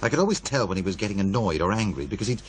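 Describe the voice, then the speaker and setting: An actor playing a wry, needling radio producer who muses and insinuates in radio drama dialogue. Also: male voice, on the low side, sing-song, rapid-fire, slight background noise. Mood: musing, teasing.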